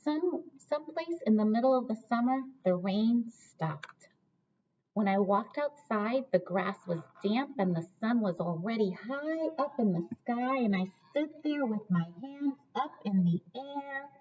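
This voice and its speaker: A woman's voice: on the high side, animated, unhurried, darkish tone. Woman reading a storybook, slightly dull sound. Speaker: teacher reading aloud to children. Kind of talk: storybook read-aloud with questions to listeners.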